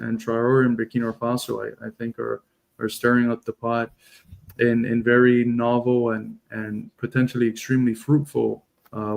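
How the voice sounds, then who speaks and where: A Cuban man with a Cuban accent talks as a guest, telling a personal story in a halting, restart-filled way. His voice has a gravelly fry and stays fairly flat in pitch. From Cuba. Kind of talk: casual chat.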